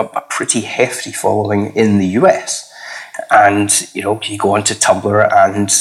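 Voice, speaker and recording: A man with a husky, slightly hoarse voice, a podcast guest chatting casually, hesitant and full of fillers. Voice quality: husky, lower than usual. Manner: rambling, hesitant with many fillers. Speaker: podcast guest, comics critic.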